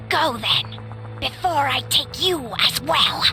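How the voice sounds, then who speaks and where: A woman's voice: sits high, creaky, heavily acted, poor audio. A woman playing a cruel, gloating nymph who threatens and taunts in a fantasy video game.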